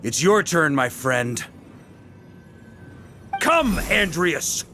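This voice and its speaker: An actor playing a calm, self-assured veteran knight commander in a video game. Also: male voice, a little high, drawn-out words, long reverb tail, faint music behind, full character voice. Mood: commanding.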